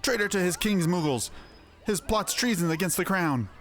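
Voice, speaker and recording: A man's voice: high-pitched, lively intonation, normal speed, a bit bright, faint music behind. A man voicing an indignant, zealous moogle royal guard in a fantasy game, declaiming accusations pompously. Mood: outraged, zealous. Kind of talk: scripted fantasy video game dialogue.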